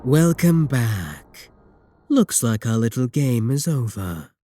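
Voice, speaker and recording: A man playing a sly, sadistic warlock in a roleplay audio drama, smug and possessive, with taunting, deliberate phrasing. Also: mid-range voice, very animated, faint music behind. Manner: taunting, drawn-out, deliberate phrasing with dark chuckles. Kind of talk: scripted roleplay audio drama, spoken to the listener.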